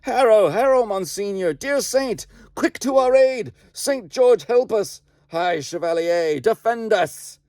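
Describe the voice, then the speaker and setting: A man voicing a ghostly host of medieval bowmen, shouting fervent chanted battle cries. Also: sits high, expressive, takes it slowly, hardly any pauses, no echo, clean studio sound, pitched above the performer's own voice.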